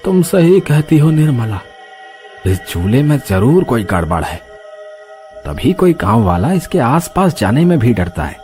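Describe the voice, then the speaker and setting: A man's voice: medium pitch, big pitch swings, unhurried, mellow, soft background music. A man voicing a concerned but bold village husband in an exclamatory, dramatic cartoon style. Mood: urgent. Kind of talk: Hindi cartoon character acting.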